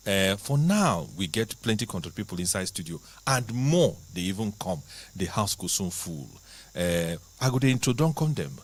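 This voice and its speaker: Animated Nigerian male talk show host. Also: low voice. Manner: animated, chatty presenter. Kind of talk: studio talk show hosting. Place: TV studio.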